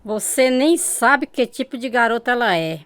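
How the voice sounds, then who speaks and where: Harsh, high-voiced bitter mother. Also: animated, normal speed, a bit bright, clear, resonant tone, dry recording, clean studio sound. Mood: bitter accusing. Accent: Brazilian. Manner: scolding, cutting. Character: harsh, bitter mother, spiritist, scornful and unforgiving. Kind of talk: radio drama acting.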